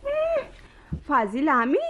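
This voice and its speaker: An actress playing a sharp-tongued, teasing young village woman in a radio drama, with a rural Bangladeshi Bengali accent. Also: high-pitched, huge pitch swings, slow, exaggerated voice. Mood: coaxing, sly. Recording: muffled radio drama recording.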